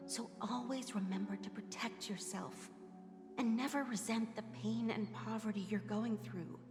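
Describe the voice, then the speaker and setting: A woman's voice: on the high side, fairly even intonation, normal speed, over music, lightly acted. A woman voicing an older sister who earnestly instructs her younger brother. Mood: solemn. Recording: echoey, long reverb.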